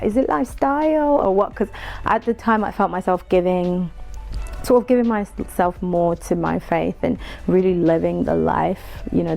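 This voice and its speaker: A young woman from Congo chats as an interview guest, her speech carrying a gravelly fry. She tells her story with animation and laughs easily, and soft music plays faintly behind her. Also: fairly low pitch. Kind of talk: casual interview chat.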